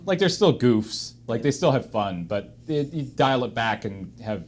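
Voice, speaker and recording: A man's voice: mid-range voice, sing-song, a little mellow. Male host chatting over background noise. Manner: halting, emphatic, repeats phrases. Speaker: host of a panel discussion. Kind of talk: casual unscripted chat. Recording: clear room noise behind.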